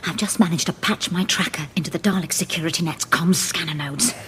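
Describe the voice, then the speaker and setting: Hushed, low-voiced technician. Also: female voice, fairly quick, slight background noise. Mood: focused, secretive. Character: terse, clever female technician snooping in secret. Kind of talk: audio drama acting.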